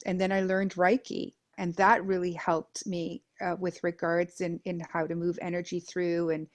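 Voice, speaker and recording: A middle-aged woman from Denmark talks earnestly as an interview guest, her voice sitting a bit low. She builds long lists of repeated phrases, with pauses and fillers along the way. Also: flat delivery. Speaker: guest, Reiki master and life coach. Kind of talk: reflective personal interview.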